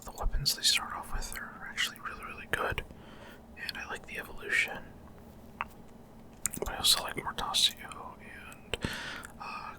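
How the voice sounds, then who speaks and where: A man hosts a gameplay video entirely in a soft, breathy whisper. His ASMR-style commentary comes in short, halting pieces, with pauses in the middle of his sentences. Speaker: gaming video host.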